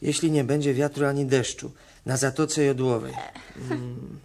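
Easygoing vagabond for a children's radio play male actor, mid-pitched, halting, muttering, on a slightly noisy old record. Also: steady tone, lightly acted. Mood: puzzling. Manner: halting, muttering as if piecing out words. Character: easygoing male wanderer puzzling out a torn leaflet.

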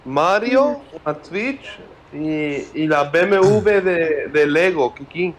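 A man's voice: a little high, expressive, slow pace, a little hiss or hum. A man on voice chat joking about his Christmas gifts, mixing Spanish with bits of German. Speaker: caller on voice chat. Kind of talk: casual chat.

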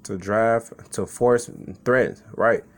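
A man's voice: fairly low pitch, steady tone, takes it slowly. Casual-talking man preaching scripture. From Chicago. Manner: conversational, repeats "man" and "okay". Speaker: street preacher. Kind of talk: informal sermon with scripture reading.

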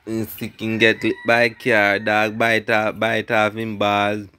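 A man's voice: lowish voice, completely flat delivery, slow, bright, forceful tone, clean tone. A middle-aged man with a Jamaican accent chatting casually in patois for a home vlog, often repeating his phrases. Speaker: family vlogger. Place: outdoors on a wooden veranda at home. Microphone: handheld phone.

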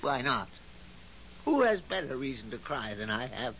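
An actor playing a weary, godlike overseer of the stars in a 1970s radio drama, scolding and long-suffering in a theatrical way. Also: male voice, medium pitch, sing-song, normal speed, fairly bright tone, low-quality recording. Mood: self-pitying. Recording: hissy 1970s radio broadcast recording.

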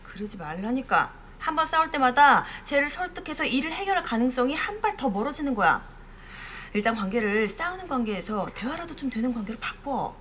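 An earnest woman in her thirties, reasons insistently. Her voice is high and lively, with faint noise behind. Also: a little fast. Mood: persuading. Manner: persuasive, earnest. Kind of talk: sitcom acting. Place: home kitchen.

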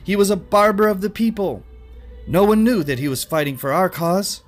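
A man's voice: high voice, moderate pace, soft background music, pitched above the performer's own voice, close to an everyday voice. A man voicing agitated townspeople in a dramatic story reading, exclaiming their judgment in a declamatory way. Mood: fervent praise.

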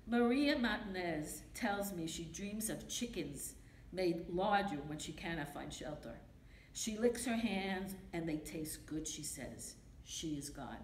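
Older woman, strong Brooklyn accent, rhythmic poetry reader, lowish voice, roomy hall sound with faint noise. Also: lively intonation, a little slow. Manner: emphatic, rhythmic, repeating refrains. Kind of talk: reading a poem aloud. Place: library meeting room. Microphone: podium mic from a far camera. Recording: echoey room with faint noise.

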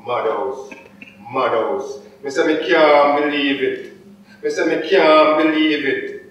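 A man's voice: a little high, takes it slowly, a bit bright. A man reciting performance poetry as an anguished, incredulous poor Jamaican, rhythmic and dramatic, in a Jamaican accent. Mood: weary lament. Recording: very echoey hall, distant muffled mic.

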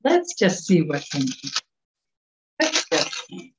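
Slow, gentle woman leading a children's talk. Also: fairly low pitch, huge pitch swings, slight background noise. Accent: Canadian. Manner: gentle, unhurried, coaxing questions to children. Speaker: children's time leader. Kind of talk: children's story at a church service. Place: small office at home. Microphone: webcam on a video call. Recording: dull, muffled webcam sound.

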